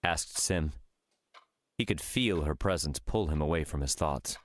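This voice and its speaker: A man narrating a scripted fiction audiobook in a measured storytelling manner. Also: extremely low pitch.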